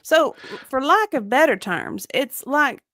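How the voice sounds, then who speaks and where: Woman playing a guarded, higher-pitched female witness. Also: sing-song, slow, bright, forceful tone, no room sound, clean studio sound, heavily acted. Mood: evasive, earnest. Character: guarded, evasive female witness under deposition. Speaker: dramatic reader. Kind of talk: dramatic reading of a deposition transcript.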